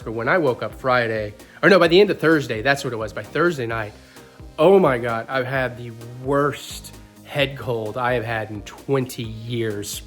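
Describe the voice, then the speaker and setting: A man's voice: medium pitch, a bit bright. A man with a stuffy head cold, talking casually and rambling on his vlog, often laughing. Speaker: vlogger.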